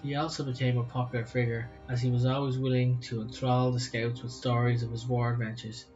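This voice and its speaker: A man's voice: medium pitch, little pitch movement, fairly quick, dull audio. A man with an Irish accent, co-hosting a podcast and reading a story aloud. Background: music.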